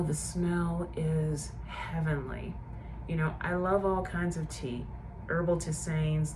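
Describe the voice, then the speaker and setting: Soft-spoken woman, nasal from a cold. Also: low voice, background noise. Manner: gentle, savoring, softly enthusiastic. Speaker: tea and book channel host. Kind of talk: relaxed tea tasting vlog with guided breathing.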